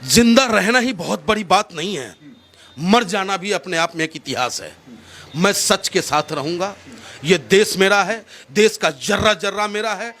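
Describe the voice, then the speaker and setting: A man's voice: high voice, lively intonation, measured pace, sharp tone. A man giving impassioned political answers in an interview, oratorical and rhetorical, repeating phrases for emphasis.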